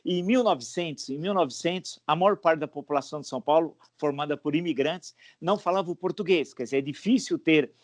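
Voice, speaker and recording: A man's voice: on the high side, brisk, hardly any pauses, no echo, studio quality. A man, a historian hosting his channel, asking an interviewee long explanatory questions. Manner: discursive, explanatory.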